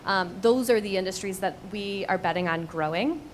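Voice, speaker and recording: Businesswoman answering panel questions, average pitch, sure of herself. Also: moderate pace, slight background noise. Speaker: company co-founder and panelist.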